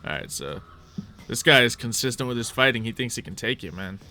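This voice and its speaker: A man's voice: mid-range voice, expressive, a little fast, bright, forceful tone. A man acting as game master, narrating casually and thinking aloud hesitantly as he works through the rules. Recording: faint background noise.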